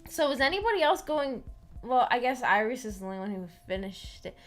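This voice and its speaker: A woman's voice: fairly high pitch, sing-song, a little fast. A woman hosting a casual livestream book club, chatting informally with viewers in a giggly, rambling way with false starts. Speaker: livestream host of a visual novel book club. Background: background music.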